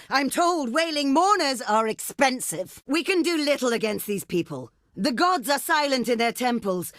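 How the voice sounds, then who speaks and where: A woman's voice: fairly high pitch, a bit bright, no echo, clean studio sound. An actress playing a proud, imperious ancient desert queen in a video game, speaking in formal, theatrical and haughty phrases. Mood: scornful, outraged. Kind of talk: video game diplomacy voice lines.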